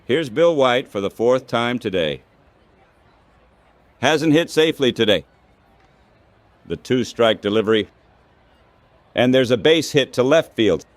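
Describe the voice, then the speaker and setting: Slow-paced synthetic male baseball announcer. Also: mid-range voice, no echo. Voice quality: synthetic text-to-speech voice. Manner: short clipped phrases. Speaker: baseball play-by-play commentator. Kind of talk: radio-style baseball commentary.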